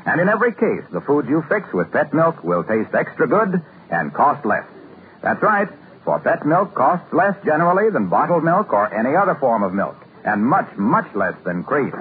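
Animated 1950s radio announcer, tinny old recording. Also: male voice, a little high, normal speed, a little hiss or hum.

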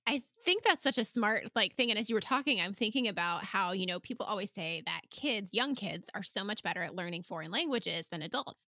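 A woman's voice: mid-range voice, expressive, a little fast, hardly any pauses, sharp tone, no room sound, clean studio sound. A woman hosting a podcast, chatting casually with chatty, affirming reactions. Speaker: podcast host. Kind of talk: casual chat.

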